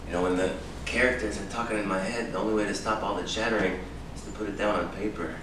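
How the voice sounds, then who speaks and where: An actor in a staged reading playing an intense, needy, proud writer. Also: male voice, on the low side, steady tone, fast talker, lightly acted. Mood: driven. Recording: echoey room from far off, noisy.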